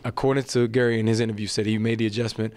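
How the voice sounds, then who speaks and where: A man hosting a post-fight interview at an indoor arena event, asking chatty, brisk questions into a handheld mic. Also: fairly low pitch, level tone, darkish tone. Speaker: sports interviewer.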